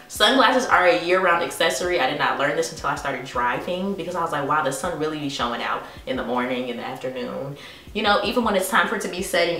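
A woman's voice: low-pitched, pushed and bright, echoey room, recorded from a distance. A young woman in her twenties chatting playfully to camera about sunglasses she was sent, rambling and calling viewers honey. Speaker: beauty vlogger. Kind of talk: casual haul vlog talking to camera. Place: bedroom vanity with lighted mirror, indoors.

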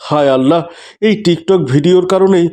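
A somewhat high male voice , an actor's, for a devout family man in a cartoon melodrama. He is stern and aggrieved. His delivery swings between exasperated scolding and pleading. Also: normal speed, mellow, natural voice. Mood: grieving.

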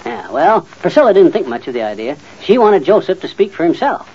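An actor playing a blustery, folksy, know-it-all middle-aged husband in an old-time radio comedy. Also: male voice, high voice, sing-song, a little fast, gravelly, dark tone, a little hiss or hum, full character voice. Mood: folksy, explaining. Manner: folksy, boastful, muttering and sputtering. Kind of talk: 1940s-50s radio comedy acting. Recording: muffled old radio recording.

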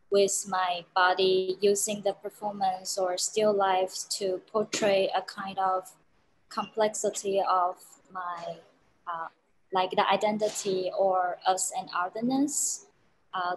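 Slow-talking woman from Taiwan. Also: medium pitch, a little hiss or hum, low-quality recording. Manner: slow, thoughtful. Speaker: photographer. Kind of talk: video call self-introduction. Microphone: headphones.

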